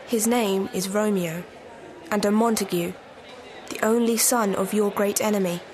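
A woman's voice: medium pitch, fairly even intonation, normal speed, a little mellow, some chatter behind, close to an everyday voice. A young woman acting a bustling, watchful household nurse in a Shakespearean audio play. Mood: grave. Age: young adult. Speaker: student actor.

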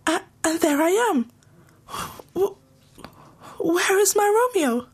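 A young woman acts an anguished teenage bride in a Shakespearean audio play. Her British voice is extremely high. She speaks very slowly, halting and breathless with grief. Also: lively intonation, full character voice. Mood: anguished confusion. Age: young adult. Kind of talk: Shakespearean audio drama acting.

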